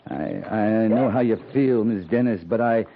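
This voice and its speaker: An actor playing a stern, honorable cavalry captain in a 1950s radio western, terse and formally courteous. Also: male voice, lowish voice, a little slow, vocal fry, phone-quality sound. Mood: gentle, formal. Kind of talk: 1950s radio drama acting. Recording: thin, noisy 1950s radio recording.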